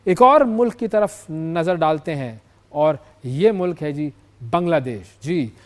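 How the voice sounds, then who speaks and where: A man's voice: fairly high pitch, expressive, measured pace. A man with a Pakistani accent hosting a news show, explaining stories with emphasis and repeating key phrases. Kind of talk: news presentation with explanatory commentary.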